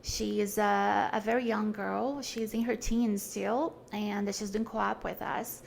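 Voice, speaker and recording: A woman's voice: mid-range voice, fairly even intonation, measured pace, gravelly, a little hiss or hum. A woman, talking earnestly and frankly as a podcast guest, with many fillers and restarts. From Brazil. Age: 40s. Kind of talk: casual conversation.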